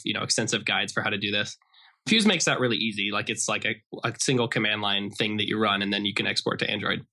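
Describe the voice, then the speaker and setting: A man's voice: mid-range voice, sharp tone. An American man explaining things casually as a guest in a conversational podcast interview, often saying "like". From Idaho, United States. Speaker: interview guest, software developer.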